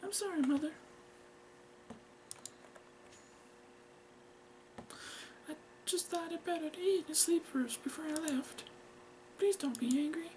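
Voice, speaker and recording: A man voicing a meek, apologetic young woman with halting, pleading delivery in a storytelling reading. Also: very high-pitched, a little mellow, slight background noise, voice pushed up, poor audio. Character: soft, timid young girl, meek and apologetic.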